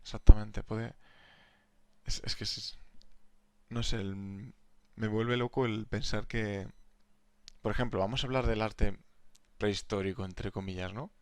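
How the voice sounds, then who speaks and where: A young man co-hosting a casual podcast, enthusiastic and quick to laugh. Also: on the low side, steady tone, clean tone, dry recording. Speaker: podcast co-host, language teacher. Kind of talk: casual conversational chat.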